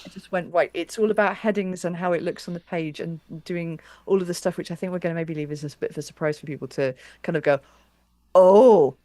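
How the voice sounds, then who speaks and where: A woman's voice: fairly low pitch, fairly quick, mellow, dry recording. A woman interviewer chatting casually and enthusiastically, with effusive reactions. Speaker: interviewer and publisher.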